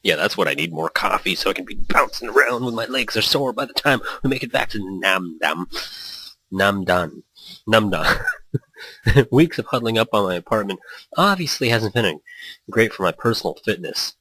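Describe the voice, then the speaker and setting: A man's voice: fairly low pitch, expressive, moderate pace, bright, forceful tone, husky, no room sound, rough recording. A man hosting a gaming let's play, reading story text aloud with playful, rambling asides and small stumbles. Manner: playful, rambling, stumbles over read lines.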